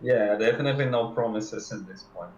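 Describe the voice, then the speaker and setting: A man talking casually and haltingly as a game developer guest, recorded in an echoey room. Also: on the low side, lo-fi sound. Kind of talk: casual interview chat. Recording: echoey room with faint noise.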